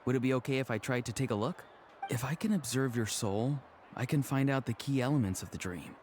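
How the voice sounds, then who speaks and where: An actor playing a soft-spoken, thoughtful young male shaman in an anime game. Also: mid-range voice, a little fast, a little hiss or hum, close to an everyday voice. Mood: thoughtful, explaining.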